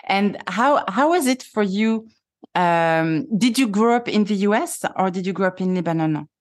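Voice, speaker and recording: A woman with a French accent, a native French speaker hosting an interview podcast in English, friendly and hesitant with many pauses to rephrase. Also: medium pitch, lively intonation. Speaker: podcast host. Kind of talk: interview conversation.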